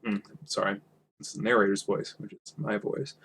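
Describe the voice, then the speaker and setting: A man with a husky voice reading a storybook aloud in an informal, self-correcting way. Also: lowish voice, moderate pace, fairly bright tone, a little hiss or hum. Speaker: amateur audiobook reader. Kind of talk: storybook reading with character voices and casual asides.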